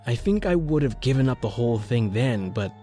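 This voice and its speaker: A haunted newspaper reporter, acted by a man, tells his story like an old radio thriller. His mid-range voice broods and weighs its pauses, with faint music underneath. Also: mid-range voice, animated, mellow, close to an everyday voice. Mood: brooding. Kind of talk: old-time radio horror acting, dramatic narration.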